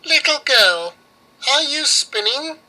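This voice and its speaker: A man voicing a commanding old witch in a storybook, with drawn-out words. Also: very high voice, expressive, pushed and bright, pitched above the performer's own voice, exaggerated voice, low-quality recording. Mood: checking up. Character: old witch Baba Yaga, commanding female crone. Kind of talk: storybook character voice.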